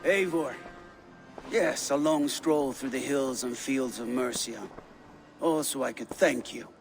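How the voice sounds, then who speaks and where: A battle-hungry Viking lord from a video game, acted by a man. He speaks with deliberate, formal courtesy and proud fatalism. Faint music sits behind the voice. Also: mid-range voice, close to an everyday voice. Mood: wry respect.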